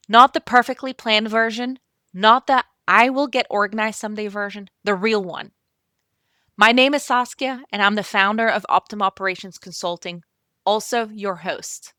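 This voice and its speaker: Bright-voiced Belgian-born woman hosting calmly. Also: medium pitch, no room sound, clean studio sound. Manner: gentle, encouraging, speaks straight to the listener. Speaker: podcast host and operations consultant. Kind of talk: calm scripted podcast monologue.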